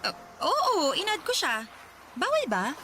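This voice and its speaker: A woman's voice: extremely high pitch, huge pitch swings, a little slow, bright, forceful tone, slight background noise, heavily acted. A woman playing an evasive young coworker in a radio drama, defensive and stammering, with a Filipino accent. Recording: music behind studio dialogue.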